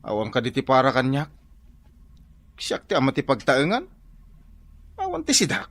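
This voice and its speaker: A man's voice: mid-range voice, wildly swooping pitch, normal speed, a bit bright, no echo, heavily acted. A man with an Ilocano accent playing a whiny, henpecked working husband in a comedy radio drama, exclaiming plaintively. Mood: indignant. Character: whiny henpecked husband, construction worker, comic complainer.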